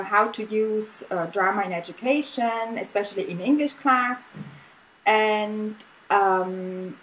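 A woman's voice: mid-range voice, rough recording. Austrian woman chatting with frequent laughs. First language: German. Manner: relaxed, laughs often, many fillers and restarts. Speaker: guest artist. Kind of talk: casual interview chat.

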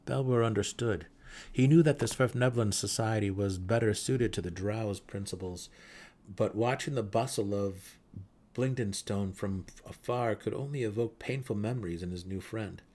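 A man with a fairly low voice narrates a fantasy audiobook. He reads scripted prose as a dramatic storyteller. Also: moderate pace, mellow. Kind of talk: dramatic reading of fantasy fiction.

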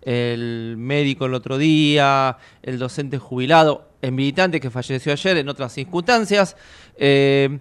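A man hosting a radio talk show, asking a long question with pauses and fillers. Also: medium pitch, clean tone. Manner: hesitant, many fillers while framing a question. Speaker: radio host. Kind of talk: interview questions.

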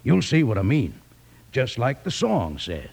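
A man playing a jolly, folksy cowboy pitchman in an old radio advertisement, gravelly and raspy, with exaggerated enthusiasm. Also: wildly swooping pitch, a little slow, a little mellow, exaggerated voice. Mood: jolly, selling.

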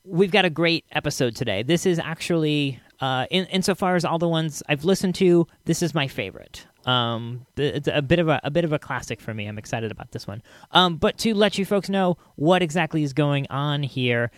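A man with a slightly high voice hosts a podcast in a very dry recording. He chats casually and a little haltingly, addressing his listeners directly. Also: animated, dry recording. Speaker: podcast host. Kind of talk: casual podcast introduction to old radio shows.

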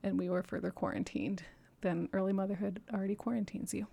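Podcast guest, woman, 30s, gravelly voice, reflective storyteller who laughs often. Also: medium pitch, flat delivery, fast, mellow. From Japan and New York City. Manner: earnest, reflective, laughs often between thoughts. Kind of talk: candid personal storytelling.

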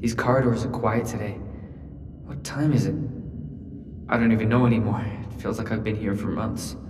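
A man's voice: fairly low pitch, fairly even intonation, normal speed, close to an everyday voice. A man playing an anxious, defensive teenage boy stranded and searching, in an audio fiction drama. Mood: worried, searching. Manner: hesitant, stammering when challenged. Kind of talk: audio fiction drama acting with spoken thoughts. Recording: voice over prominent music bed. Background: dramatic music.

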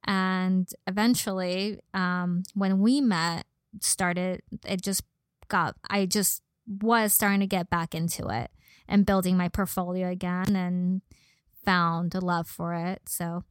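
A woman's voice: on the low side, fairly even intonation, slow, clear, resonant tone, no echo, studio quality. A young woman from Los Angeles with a slight Valley girl accent, chatting casually as a podcast guest. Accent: slight Valley girl Californian. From Los Angeles, born in Mexico. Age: young adult. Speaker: podcast guest, model and personal trainer. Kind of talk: casual conversational interview.

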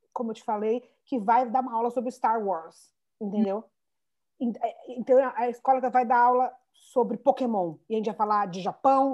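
A Brazilian-born woman in her thirties, telling stories with lots of restarts in a relaxed interview. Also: fairly high pitch, lo-fi sound. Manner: animated storyteller, many restarts and "né". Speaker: founder of a Portuguese school for children. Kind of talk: conversational interview.

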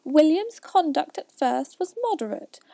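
A woman's voice: very animated, measured pace, vocal fry, no room sound, full character voice. Woman doing a pompous lecturing mouse. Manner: pompous, lecturing, high and loud. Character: fussy self-important male mouse lecturer.

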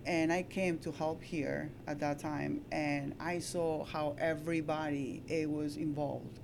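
A woman's voice: sits low, little pitch movement, a little slow, vocal fry, noisy background. A woman from Peru with a Peruvian Spanish accent, chatting casually as a shop co-owner in an interview.

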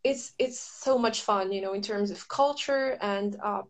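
A woman from Morocco talks casually and reflectively as an astrology practitioner, often pausing to search for the right words. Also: medium pitch.

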